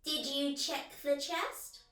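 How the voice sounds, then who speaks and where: A woman's voice: high voice, steady tone, takes it slowly, fairly bright tone, faint music behind. A woman playing a vain, childlike talking doll in a horror radio drama, sweetly coaxing and smug. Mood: eerie coaxing.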